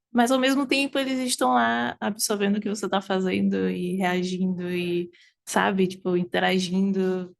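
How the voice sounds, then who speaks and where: A woman's voice: medium pitch, gravelly. A woman with a slightly mixed Northeastern Brazilian accent from Sergipe, chatting informally as an interview guest, rambling a bit with frequent tag questions. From Aracaju, Sergipe, northeastern Brazil. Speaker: interview guest, variety game streamer. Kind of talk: casual conversational interview.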